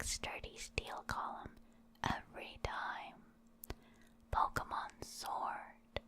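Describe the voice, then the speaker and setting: Woman doing asmr reading, all whispered, really slow and soothing. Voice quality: soft unvoiced whisper. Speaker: ASMR creator reading game creature entries.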